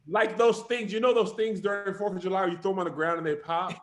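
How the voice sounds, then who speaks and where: A man co-hosting a casual podcast, joking and firing quick questions at the others. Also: high-pitched, fairly even intonation. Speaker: podcast co-host. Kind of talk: casual group chat.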